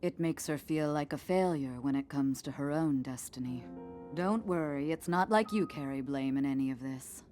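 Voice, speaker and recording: An actress playing a proud Gerudo chief in a game cutscene, speaking in a low, measured, commanding way. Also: female voice. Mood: teasing. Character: proud adult Gerudo chief woman, warm mentor. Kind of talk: video game cutscene acting. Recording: game audio with soft music.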